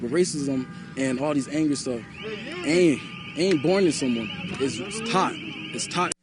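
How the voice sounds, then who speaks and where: A man's voice: a little high, animated, a little slow, music in the background. Impassioned young man from Liberia. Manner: impassioned, repetitive, often asks "you know?". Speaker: interviewee. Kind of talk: street interview. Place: outdoors at a night protest near a mall.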